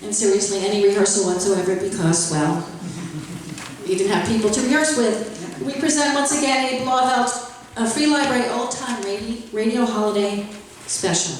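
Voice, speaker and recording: A woman's voice: mid-range voice, normal speed, slight background noise. A woman who directs a library, hosting a live stage show with chatty, self-deprecating patter and plenty of fillers. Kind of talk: casual emcee patter to a live audience. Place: large echoey room with a live audience. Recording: echoey hall from far off. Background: audience murmurs and laughter.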